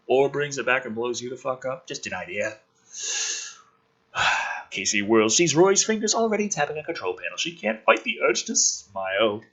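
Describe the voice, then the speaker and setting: A man's voice: mid-range voice, very animated, moderate pace, a bit bright, breathy edge, lo-fi sound. A man dramatically reading a script aloud as host, with sarcastic, sweary asides thrown in.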